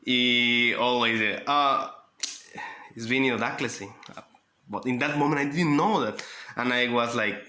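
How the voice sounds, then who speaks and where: A Mexican man, a native Spanish speaker, telling a casual vlog story in halting English with many fillers and laughs. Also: medium pitch. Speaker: vlogger. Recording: roomy echo with loud background music.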